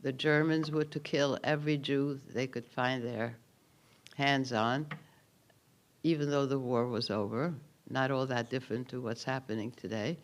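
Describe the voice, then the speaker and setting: A man's voice: mid-range voice, moderate pace. Elderly man with a Hungarian accent. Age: 80s. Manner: calm storytelling with dry understatement. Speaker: Holocaust survivor giving a keynote. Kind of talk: personal testimony speech at a memorial ceremony.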